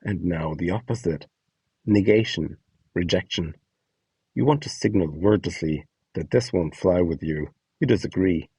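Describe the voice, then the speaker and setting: A man giving instructional narration in a measured, explanatory way with a light touch of whimsy. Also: sits low, dark tone, slightly rough, no echo, rough recording. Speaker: presenter of a voice donation guide.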